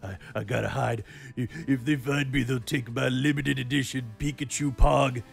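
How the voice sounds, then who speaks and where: A very gravelly, creaky bear man from a doomed future, stammers and rambles through a desperate warning over background music. He sounds gruff and urgent, like a comic character in a homemade skit. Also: mid-range voice, fairly even intonation, moderate pace. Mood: panicked. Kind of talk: comic in-character recorded plea. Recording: music under the voice.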